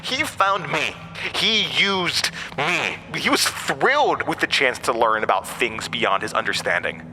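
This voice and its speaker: An actor playing an ancient, wounded supernatural outcast, manipulative and bitter, with taunting, dramatic delivery in a horror audio drama. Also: male voice, mid-range voice, big pitch swings, a little slow. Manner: taunting, dramatic storytelling with swooping emphasis. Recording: long cavernous reverb, faint noise.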